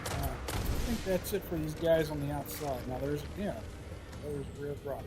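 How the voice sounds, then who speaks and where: A man giving casual commentary over a game walkthrough, his voice a little hoarse and scratchy, thinking aloud with frequent filler pauses. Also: a little high. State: slightly hoarse, scratchy throat. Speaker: gameplay commentator. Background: game music and gunfire.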